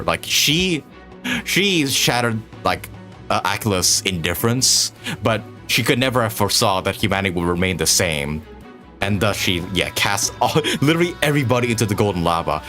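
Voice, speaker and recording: Animated, low-voiced male game streamer. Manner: excitable, sweary, halting repetitions. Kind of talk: casual reaction commentary.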